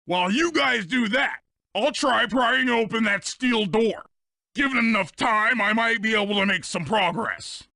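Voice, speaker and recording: A man voicing a big, simple, eager hippo sidekick in a video game, with a childlike gravelly voice. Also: unhurried, bright, forceful tone, dry recording, clean studio sound, exaggerated voice. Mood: anxious. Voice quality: gravelly, high. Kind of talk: video game character voice acting.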